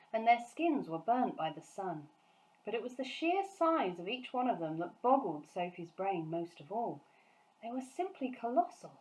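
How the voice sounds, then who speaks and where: A woman reading a children's storybook aloud in an expressive narrating style. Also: fairly low pitch, poor audio. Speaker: story reader.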